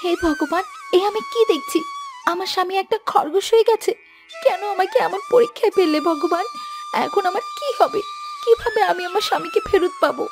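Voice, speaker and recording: A woman's voice: very high voice, huge pitch swings, brisk, music in the background, full character voice. A woman voicing a tender, emotional young wife on a quest in a children's fairy tale cartoon. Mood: distraught.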